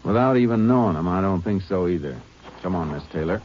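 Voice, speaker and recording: A deep-voiced stern Texas Ranger. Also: male voice, lively intonation, fairly quick. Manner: laconic, drawling, dry. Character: calm stern male Texas Ranger lawman. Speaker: narrator and lead. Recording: dull old radio broadcast with faint hiss.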